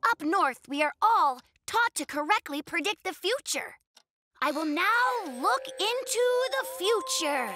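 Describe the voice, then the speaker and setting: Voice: very high voice, lively intonation, unhurried. An actor voicing an eager, boastful young bear cub who plays magician in a children's cartoon, with showy, theatrical patter.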